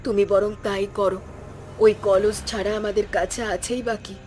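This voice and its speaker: A woman's voice: medium pitch, flat delivery, moderate pace, darkish tone, faint music behind, close to an everyday voice. A woman voicing a poor old village wife in a fairy-tale cartoon, speaking reassuringly.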